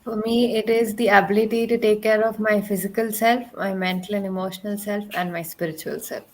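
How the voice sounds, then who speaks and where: A woman gives her answers in a group discussion. Her voice is of medium pitch, and her delivery is conversational. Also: steady tone, brisk, poor audio. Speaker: panelist.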